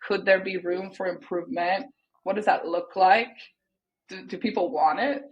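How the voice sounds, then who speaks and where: A woman's voice: mid-range voice, moderate pace, highs cut off. A woman in her thirties from Sweden, a native Swedish speaker, talking thoughtfully over a video call and hedging often with "sort of" and "kind of". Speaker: guest. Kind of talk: casual interview talk. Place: home room, indoors.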